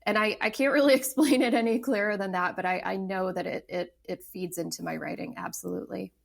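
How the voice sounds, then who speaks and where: Woman, thirties, very gravelly fry, reflective, halting. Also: mid-range voice, fairly even intonation. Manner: thoughtful, many fillers and restarts. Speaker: podcast guest, novelist. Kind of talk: casual interview talk.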